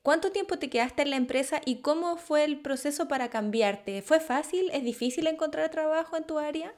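A woman interviewer asking several measured questions in a row. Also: sits high, a little mellow.

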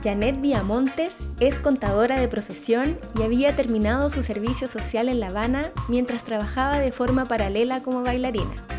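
Woman host w high voice doing interview intros and questions, bit of music behind. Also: normal speed, hardly any pauses.

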